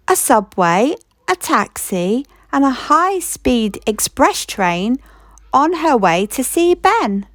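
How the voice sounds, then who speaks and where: Slow, animated picture-book reader, woman, dry close mic. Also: fairly high pitch, no echo. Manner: slow, expressive read-aloud with playful rhythm. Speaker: storybook reader. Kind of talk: reading a children's picture book aloud.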